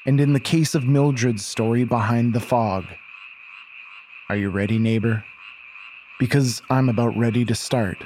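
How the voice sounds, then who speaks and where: A man narrating a scripted horror audio drama like a folksy storyteller, in a deliberate way. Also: medium pitch, fairly even intonation, darkish tone, slight background noise, rough recording.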